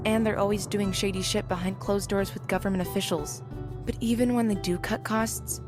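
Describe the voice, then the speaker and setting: A woman's voice: medium pitch, natural voice. A woman playing a cynical, sardonic young woman in an audio drama, with a dry, blunt manner.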